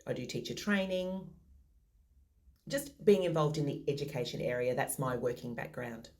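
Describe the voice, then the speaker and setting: A woman's voice: extremely low pitch, animated, moderate pace, a little mellow. A modest, thoughtful woman answering interview questions over a video call. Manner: modest, thoughtful, some restarts.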